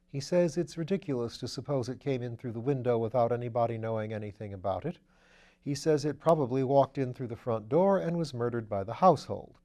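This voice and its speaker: A man reading aloud, voicing a calm, methodical police detective who reports things in a measured way. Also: medium pitch, lightly acted. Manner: calm, matter-of-fact, measured.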